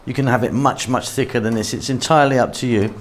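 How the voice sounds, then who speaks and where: A man talks through a recipe at the stove as a cooking channel host. His voice sits at an everyday middle pitch. He chats in a relaxed, easygoing way and thinks aloud as he goes. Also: fast. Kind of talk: casual cooking demonstration.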